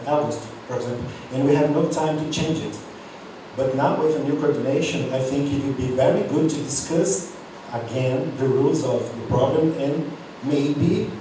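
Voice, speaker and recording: Hesitant man in an echoing hall. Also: mid-range voice. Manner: hesitant, repeats words. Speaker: former program coordinator. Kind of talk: panel discussion. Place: university auditorium. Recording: echoey hall, distant mic, noisy.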